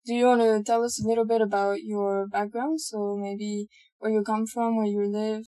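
A woman's voice: mid-range voice, level tone, normal speed, dark tone. A woman hosting a podcast, politely prompting her guest with questions. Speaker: podcast host. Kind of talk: podcast interview.

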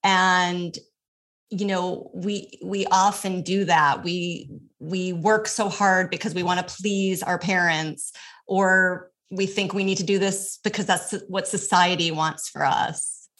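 A woman's voice: lowish voice, fairly even intonation, slow pace, a bit bright. A woman hosting a podcast, who talks in an upbeat, friendly, chatty way with casual fillers. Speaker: podcast host. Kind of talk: casual interview chat.

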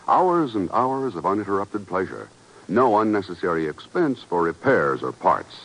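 Low-voiced, expressive vintage radio announcer man. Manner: polished, emphatic, deliberate. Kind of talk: 1950s radio ad read, scripted. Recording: muffled old radio recording.

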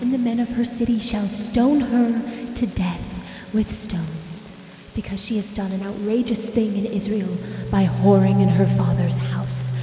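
Severe, dark-toned woman acting as scriptural judge, deliberate, echoing, audio horror. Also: medium pitch, faint music behind, close to an everyday voice. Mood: severe, judging. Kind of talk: solemn scripture recitation in horror drama. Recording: echoey hall with long reverb.

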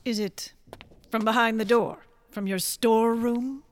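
A woman's voice: a little high, fairly even intonation, measured pace, no room sound, lightly acted. Woman acting a blunt, tough sceptical customer. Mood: wary, sceptical. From Chicago, USA (the character). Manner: blunt, no-nonsense. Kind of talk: horror radio drama acting.

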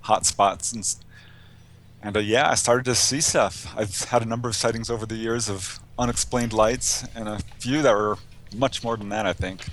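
A man speaking casually and a little haltingly as a guest in an interview. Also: lowish voice, fairly even intonation, a bit bright. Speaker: interview guest, UFO investigator. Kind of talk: casual conversational interview answers.

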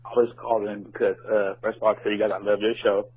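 Phone-line man, husky low voice. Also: little pitch movement, quick pace. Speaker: listener leaving a voicemail. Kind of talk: casual rambling phone message. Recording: muffled narrowband phone voicemail.

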